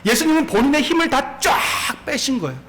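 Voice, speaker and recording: A man's voice: very high-pitched, very animated, fast, a bit bright, a little hiss or hum. A man in his forties preaching a sermon as pastor, telling a story and laughing along with it, with many questions put to the congregation. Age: 40s.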